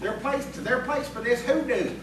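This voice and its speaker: A woman playing an eager, folksy townsman in audio theatre, with a hurried, sociable manner. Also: a little high, lightly acted. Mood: hearty. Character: brisk, folksy, eager male townsman delivering invitations. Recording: very echoey, distant, noisy room.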